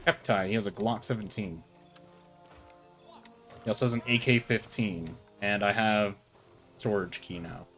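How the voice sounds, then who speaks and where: A man's voice: on the low side, steady tone, unhurried, pauses often, fairly bright tone, soft background music. A man hosting a casual co-op gaming session with friends, rambling and correcting himself as he talks, often breaking into laughter, on a headset mic. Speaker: streamer hosting a co-op game session.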